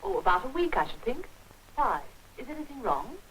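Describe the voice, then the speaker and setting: An actress playing a genteel, obliging English hotel keeper, fluttery, in 1940s British film style. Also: female voice, high-pitched, big pitch swings, fairly quick, fairly bright tone, a little hiss or hum, low-quality recording. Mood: obliging, flustered.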